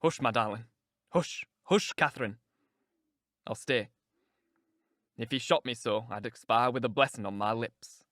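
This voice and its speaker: Narrator as tormented male lover, lowered pitch, brooding, passionate, intense, earnest, classic audiobook drama. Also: normal speed, long pauses, fairly bright tone, no echo, studio quality, close to an everyday voice. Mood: soothing, resigned. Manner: intense, earnest, low murmuring.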